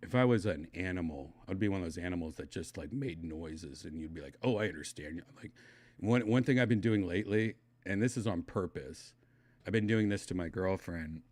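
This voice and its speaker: A middle-aged man from Wisconsin with a slight lisp, chatting casually and reading his stream chat, loose and mumbling, trailing off into quiet asides. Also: low-pitched, a little fast, no room sound. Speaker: livestream host reading chat.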